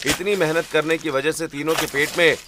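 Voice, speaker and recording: A man narrating a scripted animated family story as a storyteller. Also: fairly high pitch, a little fast, background noise. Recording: loud rain ambience behind voice.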